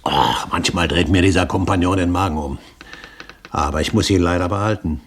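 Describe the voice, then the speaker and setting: An actor playing an older, calculating pirate captain with a measured, authoritative manner, in a German radio play. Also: male voice, low voice. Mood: disgusted. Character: commanding, calculating older male pirate captain, a great-uncle.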